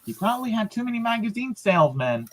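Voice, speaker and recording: A man reading character lines in an amateur dramatized read-aloud, on a quiet, distant-sounding mic. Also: high voice, sing-song, quick pace. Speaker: co-reader taking character lines.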